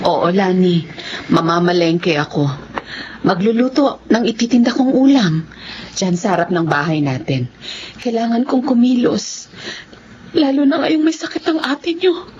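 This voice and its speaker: Animated, devoted Filipino mother played by an actress. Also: mid-range voice, unhurried, pauses often, lightly acted. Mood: determined. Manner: earnest, emotional. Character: devoted, hardworking middle-aged mother of grown children. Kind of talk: Filipino radio-style melodrama acting. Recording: muffled lo-fi audio, faint background noise.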